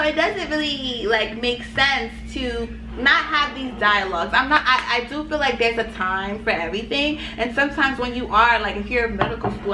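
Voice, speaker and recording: A woman with a fairly high voice tells stories with energy and acts out the voices of family members. The room is echoey, with a steady noise in the background. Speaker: co-host. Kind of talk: casual chat.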